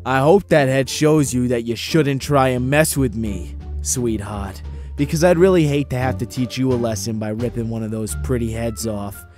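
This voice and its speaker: A man voicing a gruff, grumpy, cocky monster king in an animated comic dub, gravelly and swaggering. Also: medium pitch, moderate pace, faint music behind, lightly acted. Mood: smug menace. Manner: swaggering, laid-back threats.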